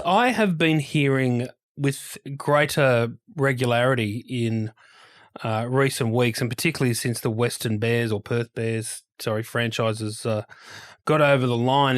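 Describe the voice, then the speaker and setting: A man with an Australian accent talks sport as a podcast co-host. His pitch sits in the middle range for a man. He speaks in a casual, halting way, leaning firmly on the points he wants to make.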